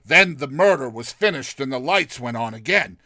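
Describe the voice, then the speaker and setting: A man's voice: medium pitch, lively intonation, moderate pace, bright, forceful tone, dry recording. A man voicing a lovestruck, impatient young man in a dramatized short story, with an effusive, emphatic delivery. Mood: rapturous, insistent.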